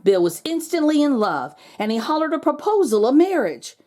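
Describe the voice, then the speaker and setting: Animated, higher-pitched woman reading a storybook. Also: normal speed. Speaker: host reading a children's picture book aloud. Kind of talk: animated storybook read-aloud for children.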